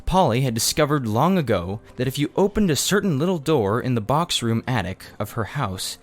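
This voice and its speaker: Animated male storybook narrator. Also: mid-range voice, normal speed. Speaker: audiobook reader. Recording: faint background noise.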